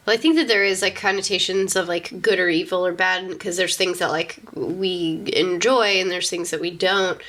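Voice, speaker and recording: A woman's voice: lowish voice, bright, forceful tone. A woman hosting a podcast in casual, informal chat, with lots of "like" in her phrasing. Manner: informal, peppered with "like". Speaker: podcast host.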